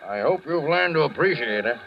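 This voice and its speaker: A highish, animated older man, avuncular and moralizing. He is hearty and fussy in the 1940s radio manner, heard on a dull old recording. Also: a little fast. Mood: paternal, admonishing. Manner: hearty, paternal, admonishing.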